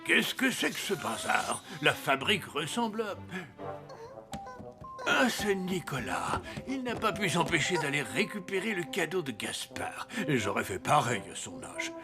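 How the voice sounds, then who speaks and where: An old Santa Claus in a cartoon, pitched high for a male voice and swinging widely up and down. He blusters theatrically yet stays indulgent, with music behind him. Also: sing-song, measured pace. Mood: blustery, amused. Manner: theatrical, scolding then amused. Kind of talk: children's cartoon dub acting.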